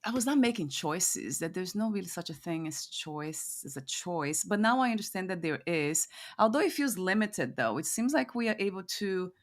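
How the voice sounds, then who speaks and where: Musing, lower-voiced woman host. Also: expressive, normal speed, few pauses. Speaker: podcast host interviewing a guest. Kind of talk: reflective conversational interview.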